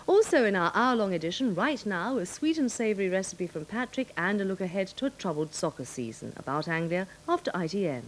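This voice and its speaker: A woman's voice: mid-range voice, very animated, brisk, hardly any pauses, a little hiss or hum, poor audio. A British woman presenting scripted headlines on a regional television news magazine. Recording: 1980s broadcast with music behind.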